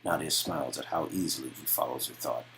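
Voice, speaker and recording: A man's voice: extremely low pitch, quick pace, bright, forceful tone, a little hiss or hum, rough recording. A man narrating an interactive story game as a playful dramatic read-aloud. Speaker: reader and narrator of a game's dialogue.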